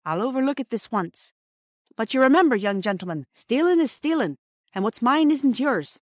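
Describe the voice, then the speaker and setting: Woman voicing a stern, high-pitched station master. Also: very animated, normal speed, no room sound, clean studio sound. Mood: gentler admonishing. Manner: scolding, admonishing, old-fashioned slang. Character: stern but kindly adult male station master.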